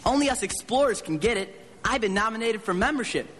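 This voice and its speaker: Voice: medium pitch, lively intonation, a little fast, fairly bright tone, natural voice. A performer voicing an eager, adventurous twelve-year-old boy in a radio drama, chatty and earnest. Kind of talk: live radio drama acting. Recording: reverberant stage hall, background noise.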